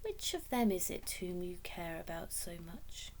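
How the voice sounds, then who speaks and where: A woman's voice: on the low side, sing-song, unhurried, slight background noise, poor audio. A woman voicing a scheming nobleman in a storybook, courtly and insinuating, with cold politeness. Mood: probing, sly.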